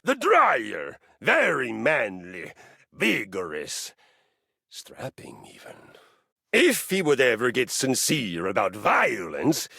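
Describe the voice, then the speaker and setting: Gravelly, wildly swooping boastful warrior. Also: male voice, low voice, fairly bright tone, dry recording, studio quality, heavily acted. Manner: pompous, grandiose, formal diction. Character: boastful warrior treadmill, bombastic lover of violence. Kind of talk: theatrical video game character acting.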